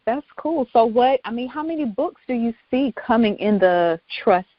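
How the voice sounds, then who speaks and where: A woman's voice: a little high, lively intonation, moderate pace, no room sound, lo-fi sound. A woman hosting a casual interview, informal and affirming in the way she talks. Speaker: show host.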